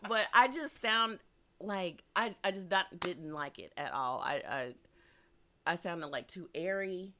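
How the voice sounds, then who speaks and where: Deep-voiced woman telling a laughing story. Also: a little slow, slight background noise. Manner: laughs often, animated storytelling. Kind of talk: casual chat.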